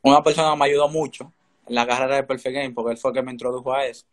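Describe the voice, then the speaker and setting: A man's voice: medium pitch, little pitch movement, rapid-fire. A teenage young man with a Dominican accent, giving casual answers as an interview guest on a video call. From Dominican Republic. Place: indoor room, remote video call. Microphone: video call, phone or laptop close in front.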